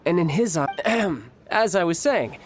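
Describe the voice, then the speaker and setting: An actor playing a suave, cocky young man and doting older brother in a video game, speaking with smooth, theatrical politeness. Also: high voice, animated, measured pace, a little hiss or hum. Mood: sheepish, confiding.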